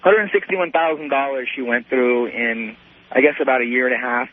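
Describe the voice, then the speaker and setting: A man in his thirties calling a radio show, telling a personal story in a halting, repetitive way full of "you know". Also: mid-range voice, no echo. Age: 30s. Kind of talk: unscripted personal account on a call-in show. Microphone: telephone. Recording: muffled lo-fi phone call to radio.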